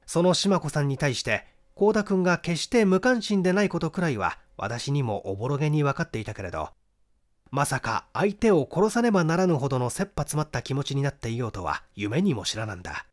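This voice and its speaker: Lively man reading a detective story. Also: on the high side, a little fast. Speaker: audiobook reader. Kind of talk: scripted literary narration of a detective story.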